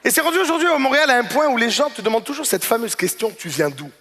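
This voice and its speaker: A man's voice: extremely high pitch, lively intonation, very fast, fairly bright tone, long reverb tail. A man performing stand-up comedy, telling stories conversationally and addressing the audience directly. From Morocco or Moroccan family in Quebec. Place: comedy gala stage.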